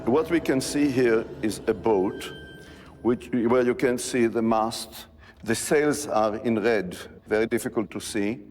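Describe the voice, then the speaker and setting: A man's voice: medium pitch, a little slow, a little mellow, in a large hall, a little hiss or hum. A man, an expert guide, carefully explaining an ancient inscription and pointing out its details.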